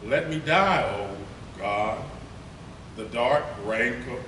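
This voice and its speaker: An older man reading poetry at a podium, first chatting haltingly with many fillers, then reciting slowly and gravely. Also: mid-range voice, lively intonation, pushed and bright. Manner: slow, declamatory recitation. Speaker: poetry reader. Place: conference room with lectern. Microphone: podium mic, from a far camera. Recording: roomy, distant, noisy room recording.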